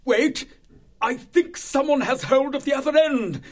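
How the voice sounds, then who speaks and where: Hearty old hare chap, put on by a male narrator, drawling and slow, storybook. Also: very high-pitched, pitched above the performer's own voice. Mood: jolly. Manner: chummy, old-fashioned chatter. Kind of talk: audiobook character voice.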